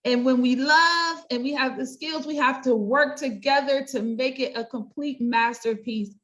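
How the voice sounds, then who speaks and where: A woman's voice: high voice, measured pace, fairly bright tone. A middle-aged woman with an American accent, talking about her own work in an emphatic, chatty interview style. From United States. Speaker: guest: pastor, counselor and coach.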